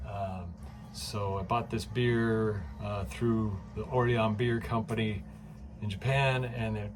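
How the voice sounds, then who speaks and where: A man with a fairly low voice chats excitedly into his phone in a home kitchen, filling the gaps with "uh" and "um" and breaking into laughs. His talk is casual and loose, like a home vlog. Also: slow, noisy background. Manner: chatty and excitable, many fillers, laughs often. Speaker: vlogger. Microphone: phone camera.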